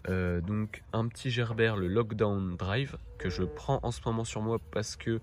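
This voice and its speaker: A man casually presenting items to camera in an informal, hesitant, halting way. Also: lowish voice, heavy background noise. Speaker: video host presenting everyday-carry gear.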